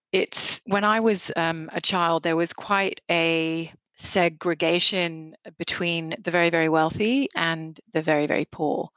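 Low-voiced Kenyan-raised woman, dry sound. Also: a bit bright, no echo, rough recording. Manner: reflective, frequent restarts and repeated words. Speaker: interview guest, photographer. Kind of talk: casual interview answers.

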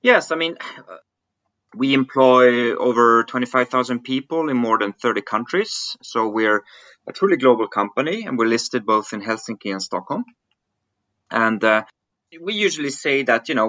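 Bright-voiced Swiss man, bright dry sound. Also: medium pitch, dry recording. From Switzerland. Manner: thoughtful, repeats words while searching for phrasing. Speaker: podcast guest, digital business executive. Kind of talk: conversational interview answers.

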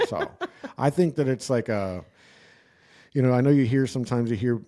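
An American man with a very mellow, soft-edged tone talks casually. His pitch sits in an ordinary middle range. He rambles through personal stories in informal, slangy phrases, as in a relaxed chat. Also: moderate pace. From United States.

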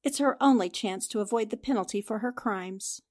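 A woman playing a sharp, confident newspaper reporter in a 1940s-style radio drama, speaking in an assertive, accusing way. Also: mid-range voice, fairly quick, natural voice.

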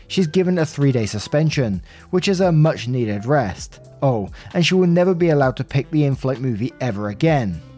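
A man reading a written story aloud as a podcast host, with expressive delivery and brief asides. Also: mid-range voice.

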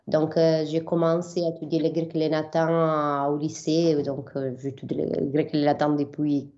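A woman's voice: low-pitched, flat delivery, moderate pace, dark tone, lo-fi sound. A young Italian woman from southern Italy, a biblical scholar answering interview questions in French with many fillers and restarts.

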